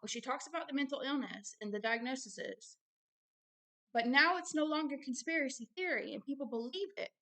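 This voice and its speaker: Woman w a highish voice reading a book out and commenting, real casual, repeats stuff for emphasis. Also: bright, forceful tone. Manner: emphatic, repeats phrases, colloquial asides. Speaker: online ministry host reading and commenting on a book. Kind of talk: informal religious commentary mixed with reading aloud.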